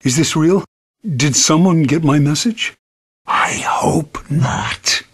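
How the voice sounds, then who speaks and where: An actor playing a corrupted male ship AI with a raspy, processed voice, torn between frightened pleading and a menacing hive voice in a video game. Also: fairly high pitch, slow, a bit bright, full character voice.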